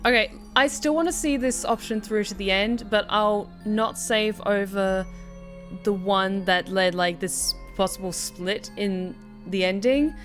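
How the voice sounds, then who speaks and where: A woman's voice: mid-range voice, a little slow, fairly bright tone, soft background music. A woman live-streaming a game, giving casual running commentary.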